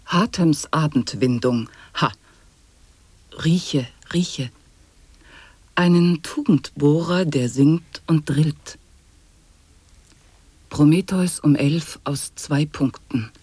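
An actor playing an eccentric chanter of nonsense sound poetry in an avant-garde radio play, husky and prone to whispering. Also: male voice, a little high, extremely slow, pauses often, darkish tone, no echo, exaggerated voice. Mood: incantatory, playful.

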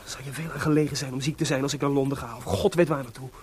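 An actor playing a playful, broke, impulsive young composer and husband in a Dutch radio drama, with a teasing, theatrical delivery. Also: male voice, medium pitch, fairly even intonation, rapid-fire, a little mellow, lightly acted. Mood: subdued, wounded. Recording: noisy old radio play recording.

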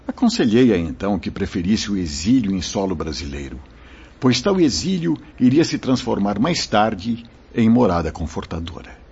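An actor playing a gentle, solemn spirit mentor in a radio drama, fatherly and reassuring, with formal, measured delivery. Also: lowish voice, very animated, gravelly, breathy edge, heavily acted. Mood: solemn recounting. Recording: dull lo-fi radio drama recording.

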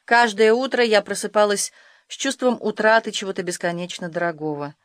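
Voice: mid-range voice, brisk, no echo. Audiobook narrator with a dry, close sound. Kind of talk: scripted first-person literary narration.

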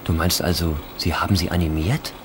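A man's voice: deep voice, fairly even intonation, brisk, a little hiss or hum, close to an everyday voice. Man playing a wry, sardonic friend.

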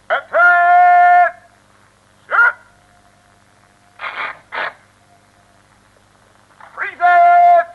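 Officious foreign sentry, swooping wildly. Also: male voice, very high-pitched, full character voice. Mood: barking, urgent. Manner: halting, formal, mixing English into Dutch. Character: excitable, officious foreign military sentry barking orders. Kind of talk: 1950s-60s comic radio serial acting. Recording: dull, lo-fi vintage radio recording.

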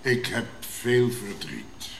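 Slow, low-voiced grave king. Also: sing-song, vocal fry, a bit bright, full character voice. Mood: sad, grave. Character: grave, commanding Ashanti king, a father. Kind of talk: radio drama acting. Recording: roomy sound with faint noise.

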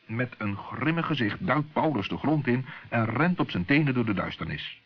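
Male children's narrator: husky, lively intonation, muffled vintage radio recording. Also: mid-range voice, moderate pace. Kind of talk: expressive children's story narration.

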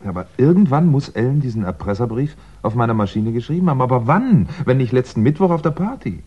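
An actor playing a polite, brooding, middle-aged crime writer in a 1960s German radio play, speaking in a measured, dryly understated way. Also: male voice, mid-range voice, sing-song, husky. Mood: probing, puzzled. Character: hunted male crime novelist, polite, brooding, wry. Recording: dull 1960s radio recording.

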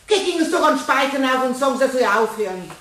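A woman's voice: sits high, animated, quick pace, a bit bright, slight background noise. A woman playing a flustered, grumbling cleaning woman with a Bavarian accent in a German radio play, exclaiming and muttering. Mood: indignant, flustered.

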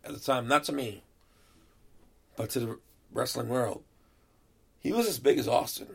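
A man's voice: medium pitch, expressive, moderate pace, vocal fry, slightly rough. A man chatting casually as a podcast co-host, blunt and swearing often, saying he is losing his voice. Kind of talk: casual chat.

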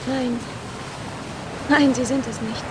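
A young woman in a radio drama, with a fairly high voice. She is anxious and polite, halting as she searches for answers, with faint noise behind her. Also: fairly even intonation. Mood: hesitant, polite. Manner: hesitant, halting. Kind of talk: German radio drama acting.

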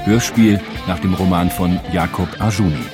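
A man's voice: low-pitched, steady tone, normal speed. A man reading scripted radio drama credits as an announcer. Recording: prominent guitar music behind.

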